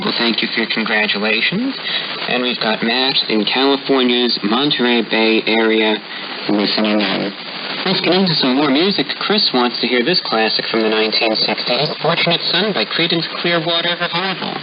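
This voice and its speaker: A man hosting a radio music request show with courteous, formal announcements, heard over shortwave. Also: lowish voice. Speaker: radio host. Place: broadcast heard over shortwave, captured on SDR receiver. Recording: muffled, noisy shortwave radio reception with static.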